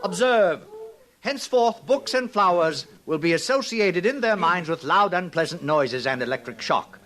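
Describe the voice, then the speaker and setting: Casting: imperious institute director, high-pitched, swooping, pompous and smugly cold, 1950s radio drama lecturer. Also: male voice, lively intonation, normal speed, fairly bright tone. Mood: smug, lecturing. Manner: pompous, declamatory, instructive. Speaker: actor.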